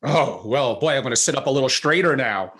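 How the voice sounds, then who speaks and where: A man's voice: mid-range voice, a little fast, a bit bright. Chatty male podcast host. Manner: chatty, gives long disclaimers. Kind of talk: interview introduction.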